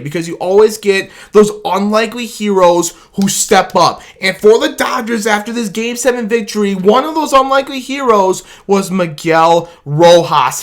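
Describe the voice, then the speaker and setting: A man hosting a sports video, talking in an excited, casual way with frequent laughs and shouts of "baby" and "man", his voice a little worn from cheering. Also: high-pitched.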